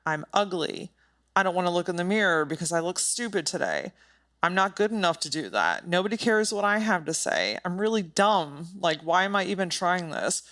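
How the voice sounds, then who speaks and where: A woman with a slightly low-pitched voice talks solo as a podcast host. She delivers motivational advice directly to the listener, stressing key words. Her wording is informal and blunt. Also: a bit bright. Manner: emphatic, direct, informal with casual swearing.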